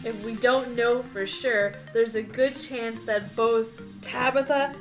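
A woman's voice: on the high side, steady tone, slow pace, music in the background, low-quality recording. A woman playing a tabletop game, thinking aloud about what happened. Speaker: player. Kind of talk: casual chat.

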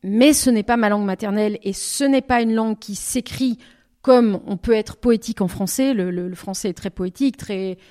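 A woman singer answering interview questions in a thoughtful way, pausing and rephrasing often as she searches for words. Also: medium pitch, darkish tone. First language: French. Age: over twenty. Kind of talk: reflective interview talk.

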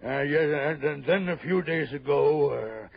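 A male actor playing a gross, wheezing rich man in an old radio drama, halting and blustering as he repeats himself. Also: a little high, creaky, slightly rough, no echo, dull audio, exaggerated voice. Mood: uneasy. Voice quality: wheezy, labored breathing. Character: gross, wheezing, frightened rich fat tycoon. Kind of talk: 1960s radio drama acting. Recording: band-limited old radio recording.

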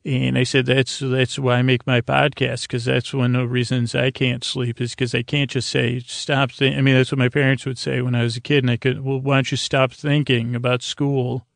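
Creaky-voiced man rambling in a flat drone. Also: mid-range voice, dry recording. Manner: meandering, halting, goofy, lighthearted. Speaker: podcast host. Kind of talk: rambling, informal sleep podcast monologue.

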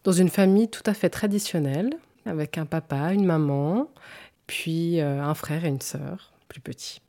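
A woman in her forties with a very low voice talks through her story in an interview. Her tone is warm and mellow, and she speaks thoughtfully and with some hesitation. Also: normal speed. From canton of Vaud, French-speaking Switzerland. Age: 40s. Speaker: interview guest. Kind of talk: personal testimony in an interview.

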